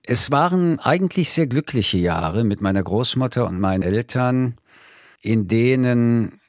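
An older man from France, whose first language is French, answers interview questions in a thoughtful, measured way with frequent hesitations. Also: mid-range voice, lively intonation, no echo, studio quality. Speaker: interview guest.

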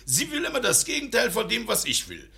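An actor playing a jovial, sly old landowner and scheming father with a creaky voice in a German radio play. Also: very high voice, a little fast, full character voice. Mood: sly, gleeful. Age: older. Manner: gleeful, animated.

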